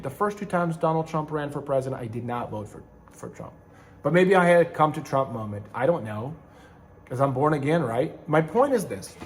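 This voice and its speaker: A middle-aged man from Ukraine who hosts a channel, talking casually to camera in a rambling way and often correcting himself mid-sentence. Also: fairly high pitch. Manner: rambling, self-correcting, conversational with frequent restarts. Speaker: channel host, online seller and manufacturer.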